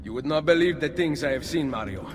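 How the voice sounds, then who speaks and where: An actor playing a bold young assassin in a video game, proud and earnest, with formal phrasing. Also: male voice, a little high, fast talker, background noise, natural voice. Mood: defiant, proud. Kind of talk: dramatic video game acting. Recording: loud game music behind voice.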